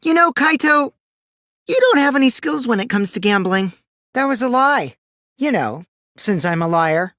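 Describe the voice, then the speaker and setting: A man's voice: very high voice, sing-song, moderate pace, dry recording, studio quality, exaggerated voice. A man voicing a mischievous, childish teenage boy who lies and schemes, in a youthful, teasing, theatrical video game performance. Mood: gleeful, dramatic. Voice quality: boyish, youthful put-on voice. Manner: teasing, theatrical, swinging from singsong to hushed menace. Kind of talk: English video game voice acting.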